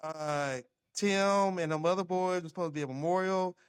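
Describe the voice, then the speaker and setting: A man in his fifties, a former football player, telling old team stories in a lively, laughing way. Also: high-pitched, normal speed, clear, resonant tone, no echo, clean studio sound. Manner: animated storyteller with laughs. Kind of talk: casual reminiscing conversation.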